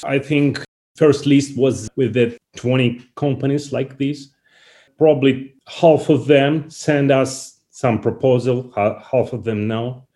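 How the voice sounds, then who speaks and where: A man with a Russian accent gives interview answers at a very unhurried pace. His English is non-native and halting in its phrasing, and his pitch sits in an average male range. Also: warm tone. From Saint Petersburg, Russia. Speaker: interview guest, company founder.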